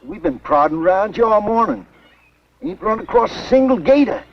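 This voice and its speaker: An actor playing a folksy, wary backwoods swamp poacher with a Southern American accent in a 1950s film. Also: male voice, high voice, sing-song, moderate pace. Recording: noisy, muffled old film soundtrack.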